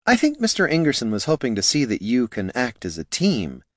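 A man's voice: mid-range voice, very animated, normal speed, no echo, studio quality, pitched above the performer's own voice, lightly acted. A male narrator plays an anxious, placating quest organiser in a fantasy audiobook. His voice is raised above the reader's own, earnest and fretful. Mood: earnest concern.

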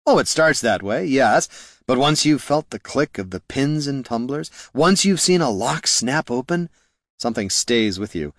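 A man's voice: medium pitch, animated, moderate pace, no room sound, studio quality, close to an everyday voice. A man playing a slick, wordy roguish bard. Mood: wistful, dramatic. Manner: slick, self-justifying, theatrical. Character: sly, wordy male bard and reformed thief. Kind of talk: fantasy audiobook character voice.

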